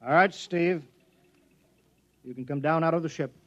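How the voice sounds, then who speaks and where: A man's voice: on the high side, normal speed, fairly bright tone, muffled recording, lightly acted. An actor playing a weary, terse man in command of a test project in a 1950s radio drama. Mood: calming. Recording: 1950s radio broadcast recording.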